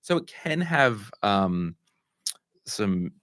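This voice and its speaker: A middle-aged Australian man with a croaky voice, hosting a casual Q&A and answering viewers' money questions with asides and restarts. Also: lowish voice, big pitch swings, drawn-out words, a bit bright, dry recording, studio quality. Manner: conversational, restarts and asides while fixing tech. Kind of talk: casual livestream Q&A.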